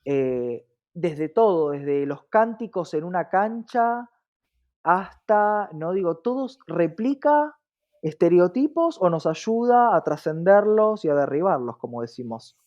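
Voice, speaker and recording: A man with an Argentine accent and a fairly high-set voice answers as an interview guest. He speaks in an earnest, reflective way and often adds little tag questions. The sound is a bit dull and lo-fi. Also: big pitch swings, slow pace. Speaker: interview guest, diversity activist.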